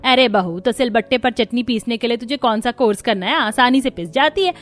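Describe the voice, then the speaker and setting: A woman's voice: fairly high pitch, brisk, fairly bright tone, close to an everyday voice. A woman voicing an older mother-in-law, insistent and dismissive, in a cartoon family story. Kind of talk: Hindi cartoon story voice acting.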